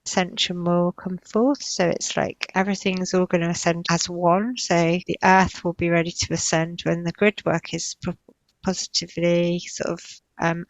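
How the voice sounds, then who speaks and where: Woman channeling visions, with creaky voice. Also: fairly low pitch, no echo, rough recording. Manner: halting, repetitive, searching phrasing. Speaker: spiritual healer channeling in a session. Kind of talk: unscripted trance-like spiritual channeling, describing visions.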